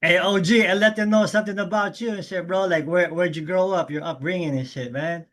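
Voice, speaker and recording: A man hosting a casual interview, asking loose, halting questions in street slang. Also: high voice, steady tone, a bit bright. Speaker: host.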